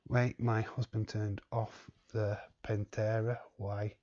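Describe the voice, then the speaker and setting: A man's voice: fairly low pitch, little pitch movement, slow pace, a little mellow, lo-fi sound. A man hosting a live watch-along stream, chatting casually and reading the chat aloud in a rambling way. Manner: rambling, reads chat aloud, chuckles often.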